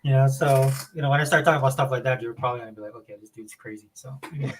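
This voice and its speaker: A man's voice: medium pitch, racing, slight background noise. A man hosting a casual podcast chat, rambling with many fillers and restarts. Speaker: podcast host.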